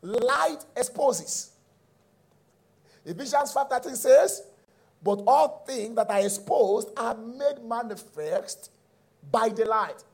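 Male Nigerian preacher, middle-aged, emphatic teaching delivery, husky and very animated in pitch. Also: takes it slowly, pauses often. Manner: emphatic, repeats key phrases, checks in with listeners. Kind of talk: teaching sermon.

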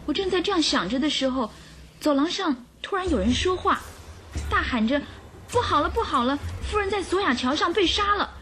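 High-voiced, distressed young governess. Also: female voice, animated, fairly quick, fairly bright tone, a little hiss or hum. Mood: anxious recounting. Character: distressed young governess accused of murder, earnest. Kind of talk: radio drama acting.

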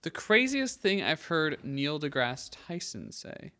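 A man's voice: on the high side, sing-song, a little slow, pushed and bright. An American man chatting casually as a co-host on a podcast. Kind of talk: casual chat.

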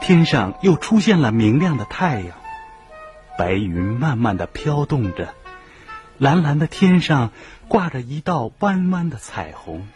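Expressive male storyteller over music. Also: medium pitch, measured pace. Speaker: narrator. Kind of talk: scripted children's story narration.

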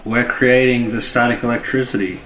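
A man's voice: mid-range voice, steady tone, moderate pace, fairly bright tone. A man co-hosting a podcast, commenting on television episodes in a casual, informal and wry way. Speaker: podcast co-host. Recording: echoey room, faint background noise.

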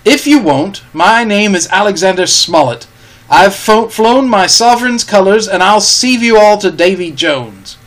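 A man voicing a proud, stern ship's captain who speaks in measured, commanding words. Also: high voice, lively intonation, a bit bright, pitched above the performer's own voice. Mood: defiant. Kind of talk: storybook reading.

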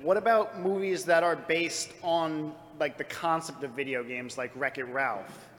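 A man's voice: fairly high pitch, measured pace, a bit bright. A man in an audience, putting a casual question to a live panel. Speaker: audience member asking a question. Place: convention panel room audience. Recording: echoey hall, faint background noise.

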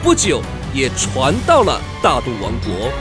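Guy narrator, high lively voice, dramatic storytelling with really loud music behind. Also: a little slow.

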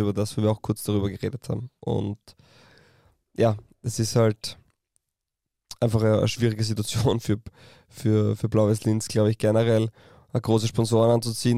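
Ein Mann mit österreichischem Akzent, der in einem lockeren Fußball-Podcast als Gesprächspartner plaudert. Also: male voice, on the low side, vocal fry, warm tone, no room sound, clean studio sound. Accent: Austrian. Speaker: podcast co-host, football club sporting director. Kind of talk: casual football podcast chat.

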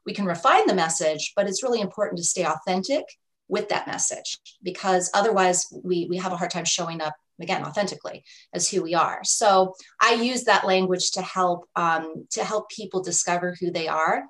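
A woman's voice: on the low side, brisk, fairly bright tone, low-quality recording. A woman life coach who used to teach languages, explaining her ideas earnestly in an interview, often adding "you know" and "right?". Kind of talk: interview answers.

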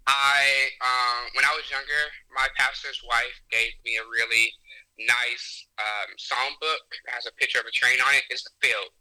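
A young man with a strikingly bright, forceful voice at a middle pitch talks easily about his songwriting. He pauses on fillers but sounds sure of himself. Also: fairly even intonation, poor audio. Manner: unhurried, filler-heavy, confident about his music. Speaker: singer-songwriter guest. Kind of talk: casual interview answers.

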